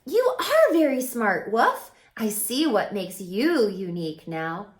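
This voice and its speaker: A woman acts out a young female unicorn from a children's storybook. She sounds bashful, sweet and earnest, with a high, lilting pitch in a roomy, echoing space. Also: very animated, slow pace, slight background noise. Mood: pleased.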